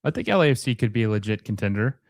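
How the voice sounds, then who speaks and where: A man's voice: on the low side, sing-song, very fast, creaky, no echo. A man hosting a live soccer watch-along, giving casual play-by-play in short, clipped phrases with fan-like reactions. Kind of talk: casual live match commentary.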